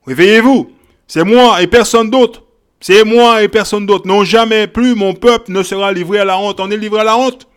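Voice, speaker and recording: High-voiced man preaching emphatically. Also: normal speed, smooth. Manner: emphatic, repeats phrases, checks in with "ok?" and "hein?"; shifts from quiet verse reading to loud exhortation. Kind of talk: Bible teaching sermon.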